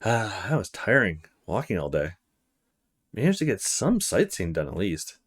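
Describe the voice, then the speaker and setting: A man's voice: lowish voice, huge pitch swings, moderate pace, husky, exaggerated voice. A man voicing a polite young male student in a visual novel, thinking to himself in casual short fragments. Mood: musing. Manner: casual inner monologue with short musing fragments.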